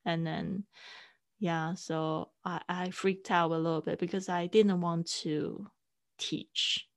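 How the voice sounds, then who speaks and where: A woman whose first language is Mandarin, speaking English as a podcast guest in an unhurried way, with many fillers and restarts. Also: on the low side, fairly even intonation. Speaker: guest, online teacher and podcaster. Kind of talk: casual interview conversation.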